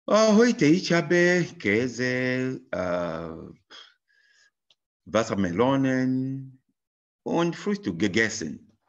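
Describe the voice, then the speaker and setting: A man voicing a 65-year-old married German woman, giving slow, halting textbook answers with many fillers. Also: mid-range voice, very animated, muffled recording. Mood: answering politely.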